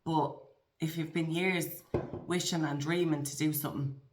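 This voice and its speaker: A woman in her forties with an Irish accent speaks in a very low register, earnest and encouraging, often restarting her phrases. The room around her is echoey. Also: fairly even intonation, normal speed, a little hiss or hum. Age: 40s. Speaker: guest. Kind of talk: conversational interview.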